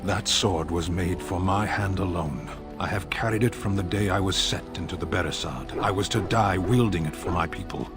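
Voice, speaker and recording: An actor playing a stoic, grim giant warrior in a video game, speaking in a terse, solemn, measured way. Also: male voice, low voice, natural voice. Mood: grave, bitter. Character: stoic, grim giant warrior, disgraced soldier far from home. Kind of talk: video game character acting. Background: music.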